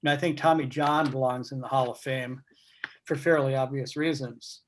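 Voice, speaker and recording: A man talking casually as a guest on a video call, reflective and measured, often posing questions. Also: mid-range voice, fairly even intonation, a little hiss or hum. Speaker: guest speaker, baseball writer. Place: remote video meeting. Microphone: video call microphone.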